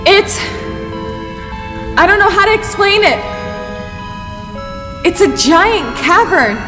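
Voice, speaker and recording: A woman playing a plucky, impatient young adventurer in an audio drama, with an urgent, expressive delivery. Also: very high-pitched, slow, exaggerated voice. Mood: awestruck. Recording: music under the voice.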